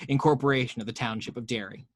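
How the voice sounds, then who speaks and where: A man's voice: medium pitch, fairly even intonation, quick pace, no room sound. A man narrating the action lines of a screenplay table read, with wry, playful asides.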